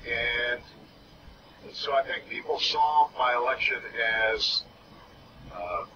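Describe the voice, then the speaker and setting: A man giving a public speech, halting, with fillers and restarts. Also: mid-range voice, lively intonation. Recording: distant muffled noisy archive clip.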